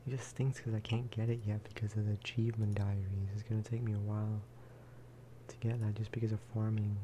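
A man speaking softly in a low-key, rambling ASMR style as he chats over gameplay. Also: flat delivery, brisk, noisy background. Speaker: gaming video creator. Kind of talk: soft-spoken ASMR gameplay chat.

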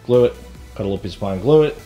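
A man's voice: fairly low pitch, animated, very fast, dull audio, over music. Casual man explaining DIY work. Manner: explanatory, step-by-step. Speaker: host, DIY home renovator. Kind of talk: casual vlog talk while working. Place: indoors, kitchen under renovation. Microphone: handheld camera at arm's length.

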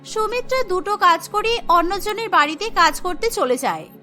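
A woman's voice: very high voice, lively intonation, normal speed, sharp tone, faint music behind. A woman reading scripted narration for a cartoon story.

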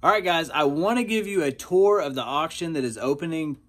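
Excitable bearded guy with a drawl. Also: fairly high pitch, a little fast. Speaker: channel host. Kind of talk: casual vlog chat. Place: large indoor storage warehouse.

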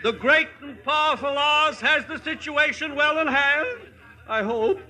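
An actor playing an officious but soft-hearted palace gatekeeper in a 1950s radio comedy style, emphatic in delivery. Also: male voice, very high voice, animated, measured pace, a crowd in the background. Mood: blustering. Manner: blustering, emphatic.

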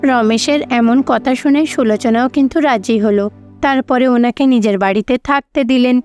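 Woman, high voice, scripted fairy tale narration, cartoon storytelling, music behind. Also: moderate pace, darkish tone.

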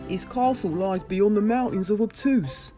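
A woman's voice: mid-range voice, moderate pace, mellow, soft background music, voice pushed up, natural voice. A woman voicing a storybook villager who gives ominous, cautioning warnings.

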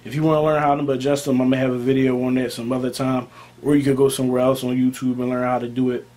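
A man's voice: mid-range voice, level tone, quick pace, darkish tone. A young American man speaking informally and emphatically as a barber hosting a video, often repeating his key points. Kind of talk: casual product talk to camera.

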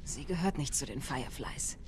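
A woman's voice: very deep voice, expressive, moderate pace, a little hiss or hum. An actress playing a wounded middle-aged female rebel leader in a video game, firm and authoritative. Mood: resolute, reassuring. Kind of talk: dramatic video game acting, German dub.

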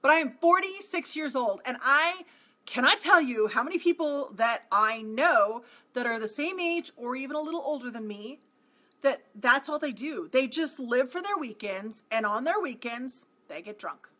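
Brief: forties woman, high bright voice, coach talking to camera, emphatic and repetitive. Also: normal speed. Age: 40s. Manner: emphatic, repeats phrases, animated with hand gestures. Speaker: marketing coach. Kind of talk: direct-to-camera motivational talk. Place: small home office indoors.

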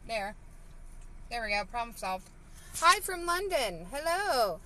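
A woman's voice: fairly high pitch, very animated, slow, sharp tone, slight background noise, low-quality recording. A woman in her thirties chatting casually on a live stream from a parked car, reading viewer comments with lots of fillers, recorded on a phone at arm's length. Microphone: phone held at arm's length.

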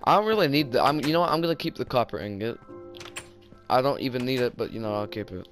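A man's voice: medium pitch, lively intonation, quick pace, a bit bright, soft background music. Tired southern guy rambling over gameplay. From southern United States. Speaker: gaming YouTuber. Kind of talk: casual gameplay commentary.

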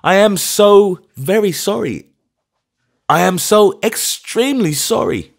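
A man's voice: sits high, lively intonation, slow, vocal fry, pitched above the performer's own voice, heavily acted. A man voicing a meek, trembling old clergyman who stammers out anxious apologies. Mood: anxious pleading.

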